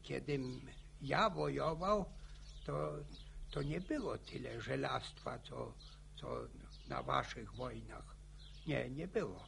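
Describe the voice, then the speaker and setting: An actor playing an old village grandfather in a radio play, spinning boastful war yarns with a gravelly, stammering, chuckling voice. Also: medium pitch, takes it slowly, fairly bright tone, slight background noise, exaggerated voice. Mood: boastful reminiscing. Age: older. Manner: chuckling folksy yarn-spinning. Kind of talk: radio drama acting.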